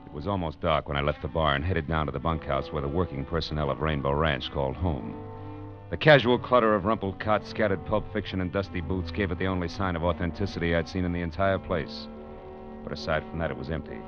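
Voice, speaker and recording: Low-voiced, wry American private detective. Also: male voice, fast talker. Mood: wry narrating. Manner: sardonic, clipped wisecracking. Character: wry, tough, nosy male private detective, worldly and unflappable. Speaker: narrator and lead actor. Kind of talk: old-time radio detective drama, first-person narration. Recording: dull vintage radio recording, music behind.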